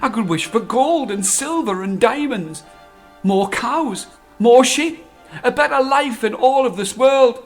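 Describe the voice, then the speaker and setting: A sharp-tongued, scheming old wife from a storybook who scolds her husband and whispers her wishes. A male storyteller plays her, pitched high and slow, with faint music underneath. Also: lively intonation, heavily acted. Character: cross, scheming old woman, a folktale wife. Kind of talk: storybook character voice.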